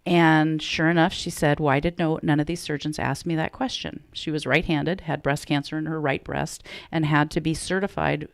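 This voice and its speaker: Low, gravelly-voiced woman guest. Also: fairly even intonation, normal speed, few pauses, dry recording. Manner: earnest, storytelling with quoted dialogue. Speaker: program director, radio guest. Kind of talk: conversational radio interview.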